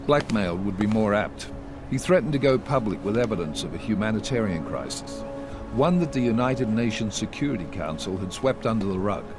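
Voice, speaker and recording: An actor playing a stern, controlled intelligence chief in a video game, clipped and authoritative. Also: male voice, fairly low pitch, lively intonation, a little fast, over music, close to an everyday voice. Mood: grave, businesslike. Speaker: agency director briefing agents. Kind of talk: video game cutscene acting.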